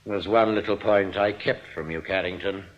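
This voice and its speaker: An actor in a radio play playing a smugly genial crooked bank manager, urbane, patronising and unruffled. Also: male voice, low voice, steady tone, moderate pace, lightly acted. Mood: smooth, patronising. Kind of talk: radio drama acting. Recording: dull, muffled radio recording.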